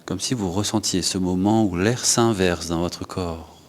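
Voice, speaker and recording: A man leads a guided yoga and breathing class, giving unhurried instructions in short phrases with pauses. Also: low-pitched. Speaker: yoga teacher.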